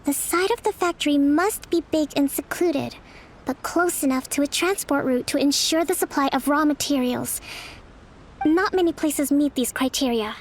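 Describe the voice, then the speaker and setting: An actress playing a young, thoughtful female military leader in a video game, soft and measured in delivery. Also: high voice, a little hiss or hum. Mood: thoughtful, analytical. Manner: measured, formal, deliberate. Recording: music behind the voice.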